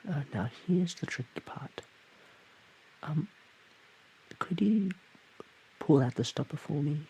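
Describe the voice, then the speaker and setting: A man's voice: fairly high pitch, animated, slow, dark tone, husky, pitched above the performer's own voice, heavily acted, rough recording. A man voicing a shy, awkward, friendly slime creature, stammering hesitantly in an audio roleplay addressed to the listener. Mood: awkward, earnest. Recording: hissy background noise.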